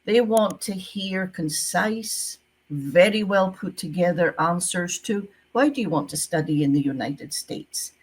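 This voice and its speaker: Husky-voiced Scottish woman, low-pitched. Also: lively intonation, a little slow. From Scotland. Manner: emphatic, repeats key advice. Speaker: guest, former visa officer. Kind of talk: interview over video call. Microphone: video call.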